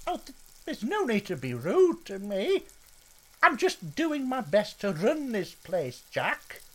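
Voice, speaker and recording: Woman as gentle, placating restaurant boss, sensitive and well-meaning, varied pitch, sketch comedy. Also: huge pitch swings, takes it slowly, heavily acted. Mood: hurt. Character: well-meaning, easily hurt female restaurant manager. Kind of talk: adult comedy sketch acting.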